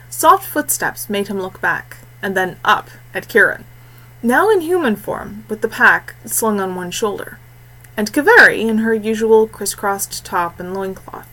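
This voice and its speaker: A woman narrating a scripted fantasy story in a measured, expressive way with deliberate phrasing. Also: on the high side, rough recording. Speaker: audiobook narrator.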